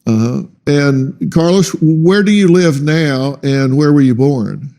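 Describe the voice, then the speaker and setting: A talk show host, a man with a fairly high but dark, mellow voice. He questions his guests slowly and deliberately, restarting phrases as he goes, in a television studio. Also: creaky.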